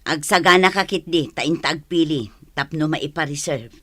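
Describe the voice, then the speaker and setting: A woman's voice: sits low, moderate pace, fairly bright tone, dry recording, clean studio sound. An actress with a Filipino accent playing a nagging, fretful middle-aged mother in a village radio drama. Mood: insistent, scolding. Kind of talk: Ilocano radio drama acting.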